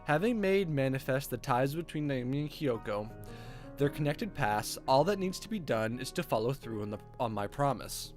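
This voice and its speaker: A man's voice: medium pitch, lively intonation, moderate pace. A man reading visual novel text aloud as a streamer, dropping in wry, joking, sweary casual asides. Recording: background music under voice. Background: music with guitar.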